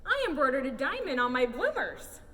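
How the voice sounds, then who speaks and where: Storybook frontier sewing-circle lady acted by a woman reader: chatty, eager, high and swooping, in a hall. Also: big pitch swings, fairly quick, bright, forceful tone, exaggerated voice. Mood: admiring. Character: chatty small-town Old West sewing-circle lady. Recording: roomy hall, distant mic, faint noise.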